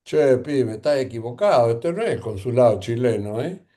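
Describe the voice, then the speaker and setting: A man's voice: medium pitch, expressive, normal speed, mellow. A man from Mendoza, Argentina, with a local accent, telling stories in a jovial, playful way. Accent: Mendoza Argentine Spanish. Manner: jovial storyteller, laughing, playful. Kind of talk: casual conversation.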